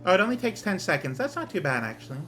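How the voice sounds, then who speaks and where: Male streamer, bright, slightly raised voice, hoarse, murmuring stats as he plays; faint music behind. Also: sits high, big pitch swings, racing. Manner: muttering numbers while he plays, thinking aloud. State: losing his voice. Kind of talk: casual gameplay commentary.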